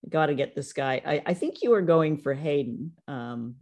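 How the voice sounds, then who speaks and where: A woman's voice: low-pitched, normal speed, a crowd in the background. A woman hosting a podcast and interviewing a guest, effusive and full of praise. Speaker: podcast host and producer. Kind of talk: casual interview.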